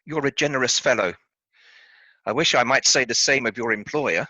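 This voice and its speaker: A man playing a polite, earnest gentleman charity collector in a radio play, speaking formally and courteously. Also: mid-range voice, moderate pace, no room sound, muffled recording.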